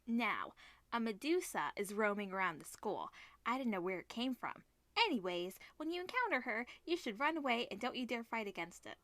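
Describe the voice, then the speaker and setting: A stern homeroom teacher in a visual novel, read by a woman, laying down grave instructions. The voice is bright and slightly high, with a dry sound. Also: very animated, moderate pace, no echo. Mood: stern, instructing.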